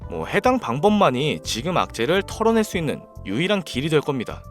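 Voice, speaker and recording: A man's voice: fairly high pitch, huge pitch swings, a little fast, soft background music. A man hosting a stock briefing, reading scripted market narration in a presenter's style and addressing viewers directly. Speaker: stock briefing channel host.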